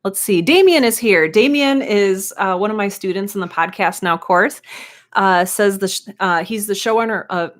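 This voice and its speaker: A woman's voice: medium pitch, moderate pace. A woman hosting a live stream, chatting casually and encouragingly as she greets viewers and reads out comments. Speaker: live stream host and writing teacher.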